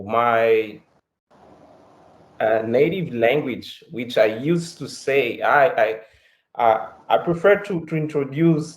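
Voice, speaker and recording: A man from Angola whose first language is Kikongo, talking casually and hesitantly as a podcast guest, often restarting. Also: medium pitch. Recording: roomy echo.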